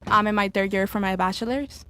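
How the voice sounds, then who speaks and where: A woman's voice: mid-range voice, brisk, sharp tone, smooth. A woman in her twenties from Venezuela, speaking with a Venezuelan Spanish accent and giving short plain answers as a podcast guest. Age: 20s. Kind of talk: casual interview answers.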